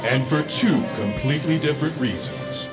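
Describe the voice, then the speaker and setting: An actor playing a pompous, melodramatic male film-trailer announcer, with loud music under the voice. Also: on the high side, moderate pace, natural voice. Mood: grandly dramatic. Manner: pompous, dramatic.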